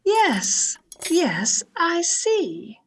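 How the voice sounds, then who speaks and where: A very high, tremulous voice of a fearful, submissive wife in a radio horror play, played by a woman. She speaks haltingly and melodramatically, with sweeping pitch. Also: huge pitch swings, a little hiss or hum, full character voice, poor audio. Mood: fearful, dazed. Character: frightened, obedient wife driven to murder. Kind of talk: old-time radio horror acting.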